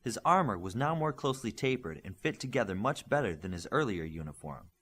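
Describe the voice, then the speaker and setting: A man's voice: medium pitch, big pitch swings, a little fast, a bit bright, breathy edge. A man reading scripted fiction as an audiobook narrator.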